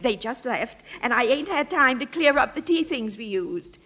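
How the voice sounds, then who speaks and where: An actress playing a lonely, attention-hungry old former actress in a radio drama, chatty, folksy and coyly evasive. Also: female voice, a little high, animated, normal speed, fairly bright tone. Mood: chatty, hospitable.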